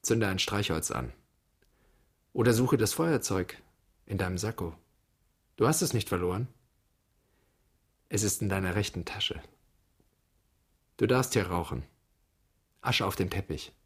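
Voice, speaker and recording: Casting: imagined dead father in a radio play, low-ish and husky, terse, insistent. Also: expressive, unhurried, long pauses, full character voice. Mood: commanding. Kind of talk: literary radio drama.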